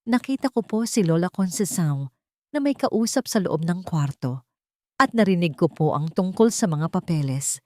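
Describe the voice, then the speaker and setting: A woman's voice: on the low side, animated, normal speed, warm tone, no echo, clean studio sound, lightly acted. A woman voicing a timid, guilty maid who informs on others, deferential and trembling, in an audio drama. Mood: nervous, guilty.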